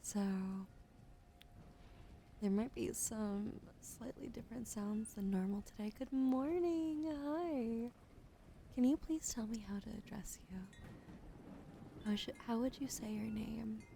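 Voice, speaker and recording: Woman, ASMR streamer, soft whispers, mellow tone, slight vocal fry, sensitive close mic at home. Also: a little high, a little hiss or hum. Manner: often whispering, soft and unhurried. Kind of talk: ASMR livestream chat. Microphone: sensitive studio mic on a boom arm.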